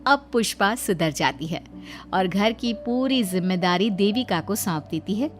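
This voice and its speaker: A woman's voice: medium pitch, lively intonation, measured pace, faint music behind. A woman narrating a scripted moral story as a storyteller.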